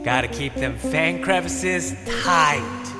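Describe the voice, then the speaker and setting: An actor playing a cocky, easygoing young party-bro incubus in a video game, talking in a slangy dude-bro drawl. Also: male voice, on the high side, slow. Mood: boastful. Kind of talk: video game character acting. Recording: long reverb under loud music.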